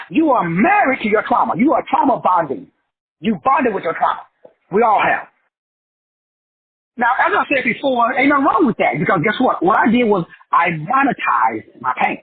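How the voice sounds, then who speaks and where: A man's voice: high-pitched, slightly rough. A middle-aged man from South Texas, giving a fervent, profane motivational class lecture in a preachy manner, through a muffled lo-fi recording. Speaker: motivational teacher.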